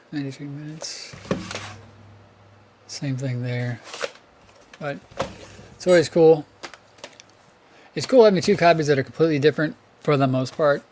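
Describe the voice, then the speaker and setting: A man with a hoarse, worn-down voice chats casually about his tape collection, thinking out loud and often correcting himself. His pitch sits in an ordinary middle range, and a faint room hum stays in the background. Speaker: VHS collector channel host.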